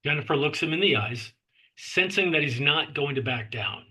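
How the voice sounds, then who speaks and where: A man's voice: a little high, animated, moderate pace, pushed and bright, slightly rough, low-quality recording. A man reading out scene descriptions as the narrator of a screenplay table read. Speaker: narrator reading stage directions.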